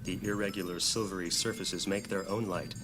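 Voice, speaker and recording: A man acting as the solemn, dramatic narrator of an epic fantasy audio drama, with measured, ornate delivery. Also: deep voice, steady tone, background noise, natural voice. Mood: dramatic, ominous. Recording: very loud music and effects behind.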